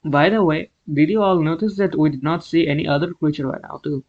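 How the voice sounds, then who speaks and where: A man's voice: a little high, brisk, muffled recording. A man hosting a reaction video with casual, musing commentary, often putting questions to his listeners.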